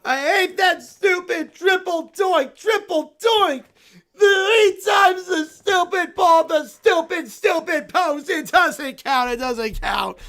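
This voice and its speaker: Woman doing a teddy bear boy, little, furious, wailing, shouting, high, sobbing. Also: animated, takes it slowly, creaky, few pauses, full character voice. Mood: whiny tantrum.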